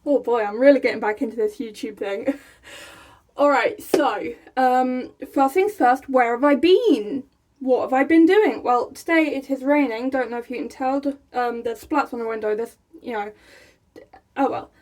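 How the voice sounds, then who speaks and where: A young woman from England, chatting casually to her camera in a small room, excitable and laughing often. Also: high-pitched, normal speed. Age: young adult. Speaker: vlogger. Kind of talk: casual vlog chat. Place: small room by a rainy window, indoors.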